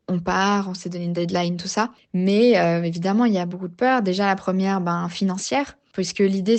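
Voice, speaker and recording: A woman's voice: fairly low pitch, darkish tone. A woman, talking reflectively as a podcast guest, with many hesitations and restarts. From Geneva region, Switzerland. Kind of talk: personal story in a podcast interview.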